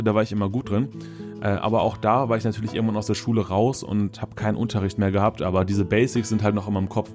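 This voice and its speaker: A man in his thirties from Germany, hosting his own podcast in a loose, upbeat chat from his office, with plenty of fillers. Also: lowish voice. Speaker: podcast host. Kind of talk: casual solo podcast chat.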